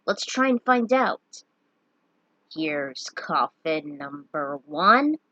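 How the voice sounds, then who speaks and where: A woman's voice: low voice, very animated, extremely slow, bright, forceful tone, no echo, lo-fi sound, voice pushed down, heavily acted. A woman voicing a carefree, gleeful young male adventurer in a fantasy story, breezy and coaxing. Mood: upbeat coaxing.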